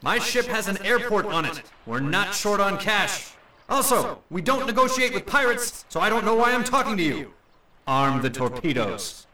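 An actor playing a brusque, officious American admiral in a comedy audio drama. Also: male voice, sits high, very animated, normal speed, sharp tone, distant mic, heavily acted, poor audio.

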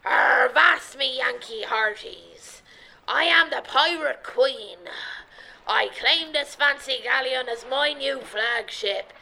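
Woman putting on a swaggering pirate queen, stage pirate accent, bright voice, comedy radio play. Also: sits high, slow, creaky, a little hiss or hum, heavily acted, low-quality recording. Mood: blustering. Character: swaggering female pirate queen, mock-archaic pirate talk.

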